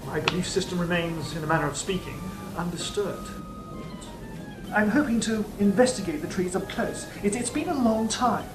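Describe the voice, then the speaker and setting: A woman playing a wily old serpent in an audio drama, with a measured, teasing way of talking. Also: on the low side, close to an everyday voice. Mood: measured, enigmatic. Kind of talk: stage-style audio drama acting. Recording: roomy, distant, music underneath.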